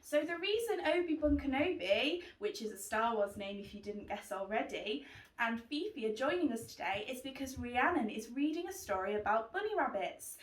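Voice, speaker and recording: A woman's voice: a little high, lively intonation, normal speed. A woman hosting a children's book club, chatting to young viewers and pets in a presenter's style. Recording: roomy echo, distant mic.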